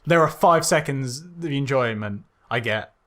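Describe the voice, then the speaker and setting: A man's voice: medium pitch, expressive, a little slow, fairly bright tone. A man co-hosting a podcast in casual film critique banter, hesitant and often repeating his phrases. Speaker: podcast co-host.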